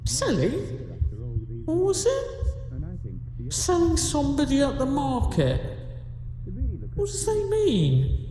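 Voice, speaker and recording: Ponderous dim old cow, echoing. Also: female voice, high voice, wildly swooping pitch, slow pace, pauses often, warm tone, full character voice. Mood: puzzled. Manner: ponderous, dim, puzzling things out word by word. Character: slow-witted, confused elderly female dairy cow, thinking aloud. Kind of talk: audio pantomime acting. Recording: echoey, long reverb on the voice.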